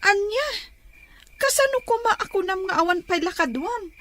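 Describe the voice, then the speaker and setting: A woman playing a lively young granddaughter in a radio drama, who talks quickly and excitably. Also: very high voice, no room sound. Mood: chatty.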